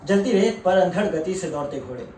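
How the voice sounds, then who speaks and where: A male actor playing a proud, merciless war-veteran colonel in a stage monologue, grave and deliberate, drawing out key phrases for emphasis. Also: high-pitched, animated, mellow, a little hiss or hum. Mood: intense, brooding. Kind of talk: dramatic theatrical Hindi monologue. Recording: echoey room, distant mic, faint music.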